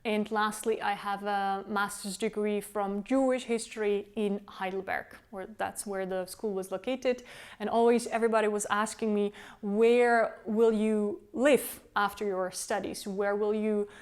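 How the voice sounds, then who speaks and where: A woman's voice: medium pitch, level tone, unhurried, smooth. A young Czech woman with a Czech accent, a tour guide answering interview questions in a chatty way with many fillers. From Czech Republic. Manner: chatty, frequent fillers, loose phrasing. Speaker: tour guide and company founder.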